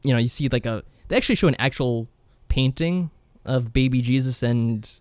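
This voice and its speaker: A man's voice: mid-range voice, fairly quick, a little mellow, dry recording. A man co-hosting a podcast, casually recapping a game in a wry, tongue-in-cheek way. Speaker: podcast co-host.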